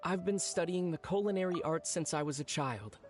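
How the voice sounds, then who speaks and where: A man's voice: fairly high pitch, brisk, natural voice. A man playing an earnest young cook. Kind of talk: video game voice acting. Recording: game audio with faint music behind.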